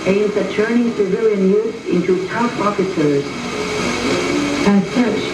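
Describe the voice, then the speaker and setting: A woman reading scripted propaganda film narration in a formal, measured manner, on an old film soundtrack. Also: mid-range voice, reverberant, far from the mic, noisy background. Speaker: documentary narrator.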